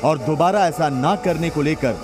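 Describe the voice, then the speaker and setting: High-pitched man narrating dramatic news. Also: normal speed, music in the background. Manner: dramatic, emphatic delivery.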